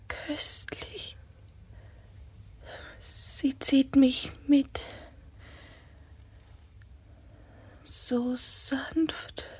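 An actress playing a melancholy, ailing young gentlewoman who tells her gothic story in a radio drama. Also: high-pitched. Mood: languid, subdued. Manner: hushed, languid delivery. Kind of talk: German radio play acting and first-person narration.

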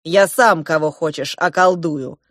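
A woman's voice: low-pitched, measured pace, fairly bright tone, no room sound, studio quality. A woman voicing a boastful, imperious elder prince in an audiobook, with grand exclamatory delivery. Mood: threatening.

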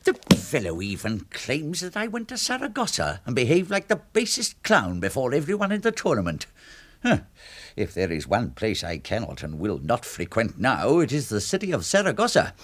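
Pompous old knight in radio drama, indignant, raspy, pitch leaping all over. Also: male voice, wildly swooping pitch, moderate pace. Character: proud, deluded old Spanish knight, easily affronted. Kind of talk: theatrical radio drama acting.

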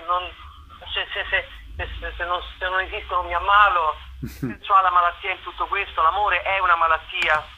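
Very sharp, bright man, faint noise. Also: high-pitched, rough recording. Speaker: actor and playwright being interviewed. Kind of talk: conversational interview, explaining own show.

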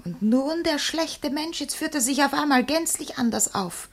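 A woman's voice: sits high, animated, normal speed. An actress playing a vain, lovesick old woman in a theatrical, lamenting manner in a classical comedy radio play. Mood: aggrieved. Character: vain, lovesick old woman, jilted by her young lover.

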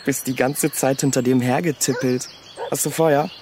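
A man's voice: medium pitch, steady tone, moderate pace, noisy background, close to an everyday voice. An actor playing a cheeky, flippant man loitering in a park in a German radio drama, chatty and teasing. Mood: cheeky, needling.

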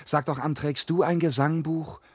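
An actor playing a reckless, boastful young peasant rogue, brashly declaiming in a radio verse drama. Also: male voice, a little high, fairly even intonation, moderate pace, husky. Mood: scornful, impatient.

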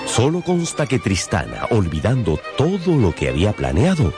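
A wry storyteller for a romantic radio novel, with a low voice that swings expressively. He pauses theatrically and knowingly as music plays behind him. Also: wildly swooping pitch. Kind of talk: dramatised radio novel narration.

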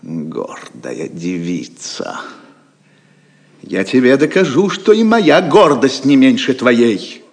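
A man's voice: medium pitch, sing-song, slow. A man acting a proud, suave Norman knight in an audio drama, with a florid, mock-gallant manner that carries menace. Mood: cold menace. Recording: reverberant hall-like sound.

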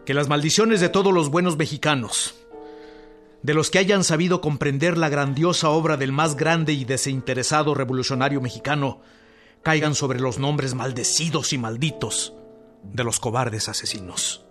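A man's voice: fairly high pitch, normal speed, a bit bright, soft background music, natural voice. A man playing a revolutionary general who solemnly and emphatically declaims a manifesto in a radio drama. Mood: mournful, indignant.

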